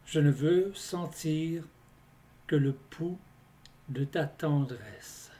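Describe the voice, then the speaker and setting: A man reciting his poems in a scripted, deliberate delivery, phrase by phrase with pauses between them. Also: on the high side, mellow. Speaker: poet reading own poems. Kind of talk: slow scripted poetry recitation.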